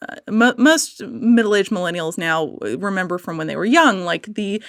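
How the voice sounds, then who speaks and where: A woman chatting casually as a podcast guest, telling animated, digressive stories in an effusive, theatrical manner. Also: medium pitch, normal speed, no echo, studio quality. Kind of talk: casual chat, animated storytelling.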